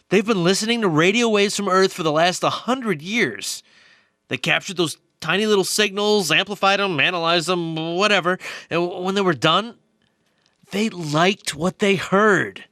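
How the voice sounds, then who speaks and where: Guy playing nerdy investigator in a radio drama, bright highish voice, stumbles over his words explaining stuff, super earnest. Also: no echo, clean studio sound. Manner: earnest, halting, stammering explanations. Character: excited nerdy male investigator explaining alien theories. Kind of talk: radio play dialogue.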